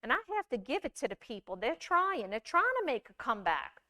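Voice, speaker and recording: A woman giving a scripted storytelling performance, with deliberate, measured phrasing and dramatic pauses. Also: a little high, big pitch swings, pushed and bright. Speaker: monologue performer.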